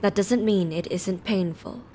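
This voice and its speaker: A woman's voice: medium pitch, measured pace, warm tone, natural voice. A woman plays a caring but firm schoolteacher in an audio drama. Her mid-range voice is composed and instructive, over faint noise. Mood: concerned.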